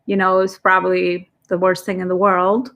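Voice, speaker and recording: Gravelly-voiced woman hosting an interview. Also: fairly low pitch, monotone, moderate pace, fairly bright tone. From immigrant, came at fifteen. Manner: rambling, encouraging, many fillers. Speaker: podcast host. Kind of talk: casual interview chat.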